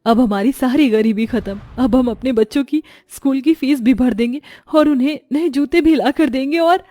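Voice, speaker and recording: A woman playing a kind village mother and wife in a cartoon story, speaking in a gentle, earnest way. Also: sits high, normal speed, no echo, studio quality, natural voice. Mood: amazed.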